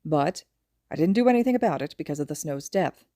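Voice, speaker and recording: Woman acting out weary older man, retired hero, irritated, insistent, low voice, dry story recording. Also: lively intonation, fairly quick, warm tone, no room sound. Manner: exasperated, insistent.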